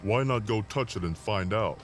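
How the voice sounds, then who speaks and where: A man's voice: on the low side, lively intonation, normal speed, faint music behind, lightly acted. A man playing a cheeky, sarcastic Marine private in an audio drama, teasing as he talks.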